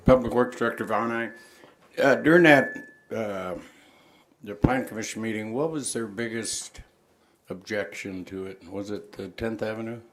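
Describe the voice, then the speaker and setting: A man's voice: on the low side, normal speed, a little hiss or hum. A man, a city councilman, putting questions to staff at a public meeting.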